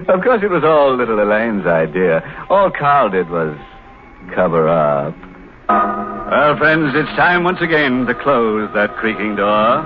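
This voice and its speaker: Over music on a tinny vintage radio recording, a ghoulish horror host. His lowish voice drawls slowly with mock sweetness and sardonic glee, as a 1950s radio master of ceremonies. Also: expressive. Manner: sardonic, drawn-out, gleeful. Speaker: host of a horror anthology show.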